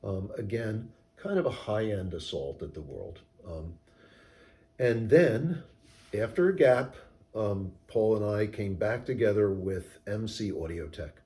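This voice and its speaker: A man giving measured, technical, explanatory answers in an interview as a company co-founder. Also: lowish voice, expressive, dark tone. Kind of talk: interview answers about product design.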